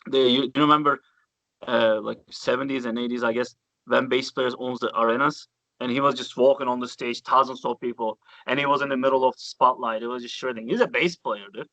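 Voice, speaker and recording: A man with a Turkish accent, a bassist guest telling stories in a relaxed interview with loose grammar and casual swearing. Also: a little fast, dry recording, rough recording. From Turkey.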